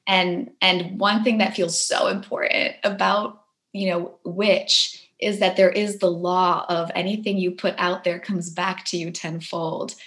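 A young adult woman from Poland, a herbalist and green witch, talking reflectively as an interview guest, soft-spoken and often restarting her phrases. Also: fairly low pitch, level tone, measured pace.

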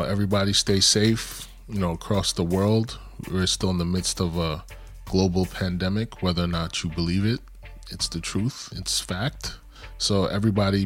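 Deep-voiced New York podcast co-host. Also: male voice, fairly bright tone. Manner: laid-back, slangy, earnest. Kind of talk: casual chat.

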